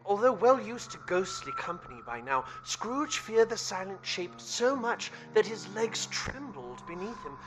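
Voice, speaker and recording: A man's voice: high voice, sing-song, a little slow, few pauses, background noise. A man playing a storyteller, narrating a Victorian ghost tale in a dramatic, literary radio-play style. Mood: solemn, suspenseful.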